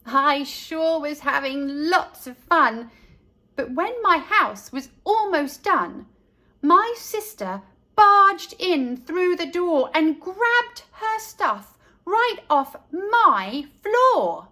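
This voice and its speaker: Female storytime reader, high and bright, animated delivery for a young audience. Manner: deliberate, animated storyteller addressing children. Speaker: children's storytime narrator. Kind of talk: expressive picture-book read-aloud for young children.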